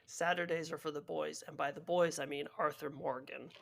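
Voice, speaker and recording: A man's voice: on the high side, steady tone, normal speed, vocal fry, a bit bright. A man co-hosting a podcast with his brothers, teasing and cracking dry jokes. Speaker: podcast co-host. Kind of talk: casual comedic podcast banter.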